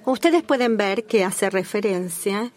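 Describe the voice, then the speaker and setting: Female simultaneous interpreter for a formal meeting: measured, formal delivery, mid-range voice, some restarts. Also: medium pitch, expressive, darkish tone. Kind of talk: interpreting a formal working-group call.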